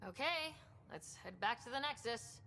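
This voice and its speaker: An actress playing a composed, firm young explorer leader in a video game cutscene. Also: female voice, lively intonation, moderate pace, sharp tone. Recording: slight reverb, faint rumbling noise.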